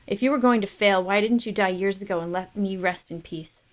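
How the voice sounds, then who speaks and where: A woman's voice: medium pitch, a little fast, natural voice. A woman voicing the bitter, reproachful voice of a dead father.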